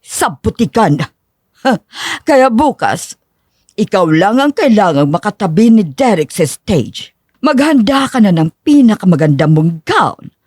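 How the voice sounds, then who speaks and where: A woman's voice: medium pitch, sing-song, a little slow, a little mellow, breathy edge, no room sound, studio quality. A woman playing a haughty, cruel older mother-in-law in a dramatized audio story, disdainful and imperious. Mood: smug, sugary.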